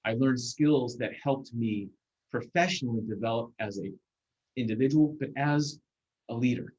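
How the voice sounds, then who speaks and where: A man in his forties, a guest speaker, giving a measured, earnest talk over a video call, with fillers and restarts. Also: mid-range voice, a little mellow. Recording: muffled video call audio.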